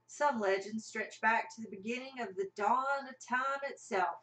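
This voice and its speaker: A woman's voice: fairly high pitch, moderate pace, a bit bright. A woman narrating a scripted comic radio play as part of an amateur cast. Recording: roomy, mic at a distance.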